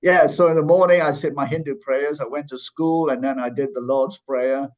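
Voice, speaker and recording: A man from Malaysia talks in a thoughtful, halting way, restarting his sentences as he works through his points. His voice sits at a middling pitch, and the recording sounds dull and lo-fi. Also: steady tone, a little mellow. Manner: thoughtful, many restarts and fillers. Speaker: guest. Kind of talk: conversational interview.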